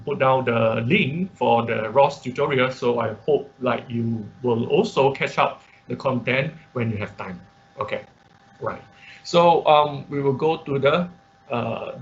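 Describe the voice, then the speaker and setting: Male online workshop instructor, informal and halting, frequent check-in tags, middling pitch, dull lo-fi class audio. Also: slight background noise. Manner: halting, repeats words, frequent checking tags like "okay?" and "right?". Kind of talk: informal live tutorial with screen sharing.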